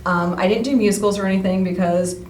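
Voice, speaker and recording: A woman's voice: medium pitch, steady tone, fast, darkish tone. A middle-aged woman with an American accent talks casually as a guest, in an informal, filler-heavy way. She sounds a little away from the mic in an echoey room, with faint noise around her. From United States. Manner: informal, peppers talk with "like". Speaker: interview guest, amateur actor. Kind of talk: casual chat. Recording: roomy, distant, faintly noisy.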